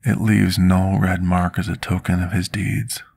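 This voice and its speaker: A man playing a scarred, remorseful potion master in an intimate ASMR roleplay, speaking formally and deliberately like someone confessing his past. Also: sits low, little pitch movement, creaky, darkish tone, husky, no room sound, exaggerated voice. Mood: sorrowful, confessional. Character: scarred male potion master, devoted, remorseful, soft-spoken servant.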